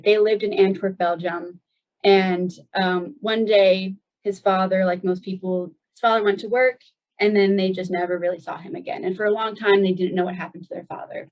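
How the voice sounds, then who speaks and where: Woman, 20s, from the US, loose chatty guest storyteller, dull lo-fi sound. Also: mid-range voice. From United States. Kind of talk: casual personal storytelling.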